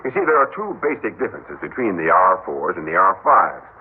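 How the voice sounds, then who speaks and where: An actor playing a persuasive, smooth-talking male head of a robot company in an old radio drama. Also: deep voice, sing-song, a little fast, full character voice. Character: suave middle-aged male robot-company chief. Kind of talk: 1960s radio drama acting. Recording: tinny old radio broadcast with hiss.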